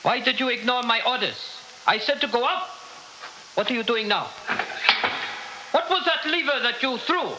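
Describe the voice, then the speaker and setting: An imperious leader with a high voice that swoops widely. He is pompous and formal, quick to give orders and easily rattled, in an old radio play with clear background noise. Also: expressive. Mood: furious, panicked. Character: imperious head of state, haughty, easily panicked. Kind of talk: 1960s radio drama acting. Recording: noisy old radio recording.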